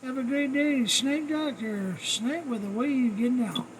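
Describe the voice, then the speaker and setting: A man works a CB radio channel as its host, putting out calls in short, folksy bursts of operator slang. Clear static and noise sit around his voice. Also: extremely high pitch, measured pace. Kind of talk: CB radio chat with trucker slang. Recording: noisy radio static and ambience.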